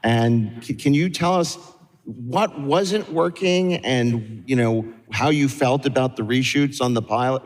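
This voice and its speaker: A man's voice: medium pitch, unhurried. A man casually moderating a live interview as host. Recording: echoey hall with long reverb.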